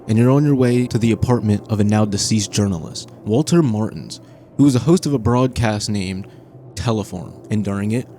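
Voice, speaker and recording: Man, scripted horror narration, suspenseful storyteller, dark mellow tone, lowish pitch. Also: moderate pace, a little hiss or hum. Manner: suspenseful second-person storytelling. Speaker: narrator of a video essay on horror games.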